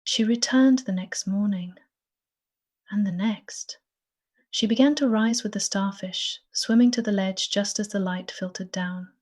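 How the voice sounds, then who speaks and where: A woman narrating a bedtime story in a gentle, soothing, partly whispered voice. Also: medium pitch, unhurried.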